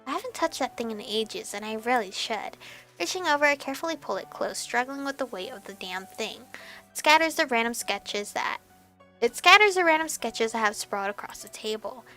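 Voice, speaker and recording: A woman reading a visual novel aloud as expressive narration, with animated reactions and some stumbling over words. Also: a little high, fairly quick. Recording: background music behind voice. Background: game music.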